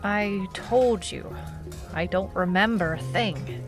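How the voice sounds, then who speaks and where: A hard-boiled woman detective , played by an actress, speaks slowly in clipped, deadpan phrases over clear noir background music. She sounds terse and suspicious, a private eye with no past to lean on. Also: medium pitch, lightly acted. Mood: tired suspicion. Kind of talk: noir detective audio drama, narration and dialogue. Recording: music playing behind the voice.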